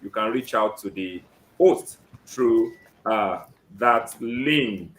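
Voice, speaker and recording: A Nigerian man hosting a live job seeker interview, often saying "great" and filling pauses with "um". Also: on the low side, a bit bright, roomy sound, a little hiss or hum, rough recording. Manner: keeps saying "great" and fills with "um".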